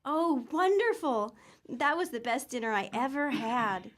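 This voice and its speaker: A sweet young woman speaks gently and sincerely in a high voice. It is classic old-time radio comedy acting. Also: animated, a little slow.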